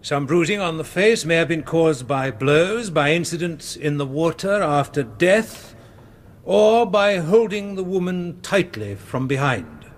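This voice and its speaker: A post-mortem doctor in a period radio play. He testifies formally and precisely, in a measured manner and a slightly high voice. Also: expressive. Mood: cautious. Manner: careful, precise. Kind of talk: period courtroom radio drama.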